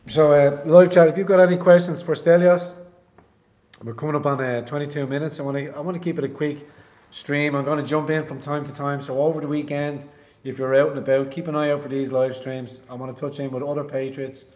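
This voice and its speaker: A man's voice: on the high side, flat delivery, fairly quick, a little mellow, reverberant. A man from Ireland hosting a casual live stream, talking bluntly and emphatically and swearing. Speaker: livestream host.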